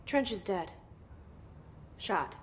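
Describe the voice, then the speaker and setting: A woman's voice: mid-range voice, expressive, extremely slow, a little hiss or hum. Guarded, terse young woman. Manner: terse, guarded, short phrases. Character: Jesse, guarded young woman, new bureau director, determined. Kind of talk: naturalistic cinematic video game acting.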